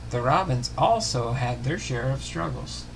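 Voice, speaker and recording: A man reading a scripted sports history narration aloud, haltingly, often stumbling and correcting himself. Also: mid-range voice, expressive, gravelly, noisy background. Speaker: host of a sports history show. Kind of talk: scripted narration read aloud, baseball biography.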